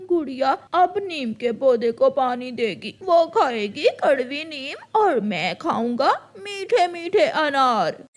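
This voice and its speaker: Woman as a whiny, high-pitched crow boy. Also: sing-song, slow, few pauses, heavily acted. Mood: gleeful. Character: young male crow child, greedy, spoiled, whiny. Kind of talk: children's cartoon story voice acting.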